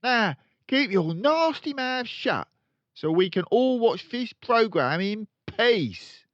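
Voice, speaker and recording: A man voicing a nasty, sharp middle-aged mother in a children's storybook, loud and snapping. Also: high-pitched, big pitch swings, slow pace, no room sound, lo-fi sound, voice pushed up, heavily acted. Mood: scolding. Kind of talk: children's storybook read aloud.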